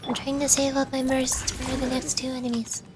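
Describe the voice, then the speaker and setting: A woman's voice: sits high, monotone, moderate pace, heavy background noise. A woman streaming a video game, giving casual commentary and thinking aloud in short bursts.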